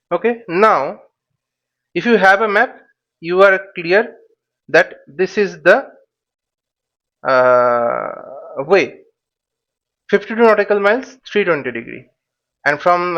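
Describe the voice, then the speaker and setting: Guy explaining stuff step by step, really slow and halting, kinda muffled lo-fi mic. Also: on the high side, fairly bright tone. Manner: halting, step-by-step, with repeated phrases and check questions. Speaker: flight simulation instructor and presenter. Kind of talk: informal tutorial explanation.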